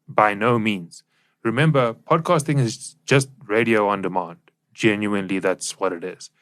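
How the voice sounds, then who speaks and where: A man's voice: lowish voice, a bit bright, dry recording. A plain-spoken, emphatic man explaining things conversationally as a podcast co-host.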